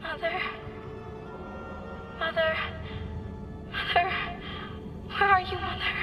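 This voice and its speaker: A frightened young girl pleads slowly in a thin, childlike radio-drama voice. She sounds far from the microphone, with music playing underneath. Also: extremely high pitch, flat delivery. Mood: searching, fearful. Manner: slow, repetitive, pleading calls. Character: frightened young girl calling out for her parents. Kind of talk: old-time radio drama acting.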